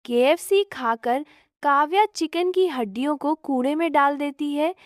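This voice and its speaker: A woman's voice: high-pitched, unhurried, no room sound, clean studio sound. A woman narrating a scripted moral story as its storyteller.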